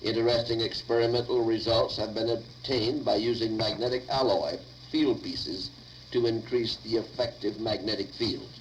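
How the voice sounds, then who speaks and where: A man dictating lecture notes aloud in a deliberate, formal way, recorded on 1950 reel-to-reel tape. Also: lowish voice, steady tone, a little hiss or hum, rough recording.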